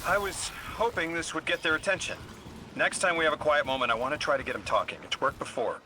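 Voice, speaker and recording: A man's voice: fairly high pitch, fast, sharp tone, a little hiss or hum, poor audio. An actor playing a cocky, driven young male intelligence operative in a video game, urgent and confident. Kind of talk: video game dialogue acting.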